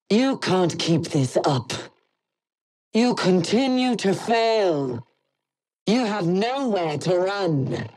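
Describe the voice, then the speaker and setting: A woman's voice: fairly low pitch, a little mellow, full character voice. A woman voicing a menacing imperial hunter in a video game, taunting her prey with cold contempt. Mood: cold menace. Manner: slow, contemptuous, coldly threatening. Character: menacing helmeted female villain, cold imperial Jedi hunter.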